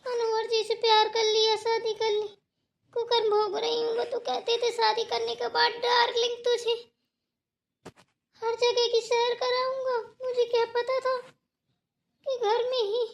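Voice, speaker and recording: A woman's voice: extremely high pitch, completely flat delivery, measured pace, creaky, dull audio, heavily acted. A woman voicing a complaining, melodramatic young daughter-in-law who hates housework, in a family cartoon with rustic turns of phrase. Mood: self-pitying. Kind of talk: cartoon family drama dialogue.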